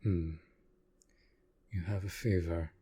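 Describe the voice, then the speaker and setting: An actor playing a courtly, aloof but caring vampire master in an intimate roleplay audio drama, speaking formally with soft condescension. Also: male voice, deep voice, fairly even intonation, breathy edge, full character voice. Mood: dry concern. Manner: formal, measured, softly condescending.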